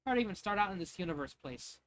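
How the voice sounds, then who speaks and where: A man voicing an excitable, easily amazed young girl cousin in an amateur fan fiction reading. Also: high voice, quick pace. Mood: warm, eager.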